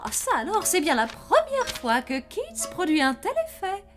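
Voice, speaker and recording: Very high-pitched, prim cartoon lady. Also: wildly swooping pitch, normal speed, loud music behind the voice, heavily acted. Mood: prim, lyrical. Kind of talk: French cartoon dubbing.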